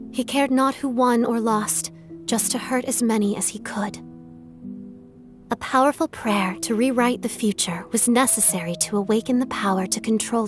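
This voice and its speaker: A woman voicing a solemn seer in a video game, narrating in a measured, portentous way. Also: a little high, lightly acted. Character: solemn woman seer recounting a tragic war. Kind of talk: scripted dramatic game narration.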